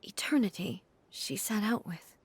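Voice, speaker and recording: A woman voicing a solemn, regal immortal ruler in a video game, speaking softly in a measured, formal, reflective manner. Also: medium pitch, lively intonation, breathy edge.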